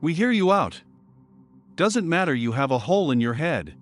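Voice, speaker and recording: A man's voice: fairly high pitch, sing-song, normal speed, pitched above the performer's own voice. A man voicing a sinister, ominous letter writer in a horror story, with music behind.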